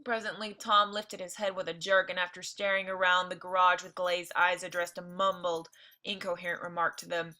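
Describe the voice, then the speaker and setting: A woman's voice: fairly low pitch, little pitch movement, normal speed, pushed and bright. A woman teacher reading a novel aloud expressively, breaking off for informal explanatory asides. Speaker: teacher reading along with listeners.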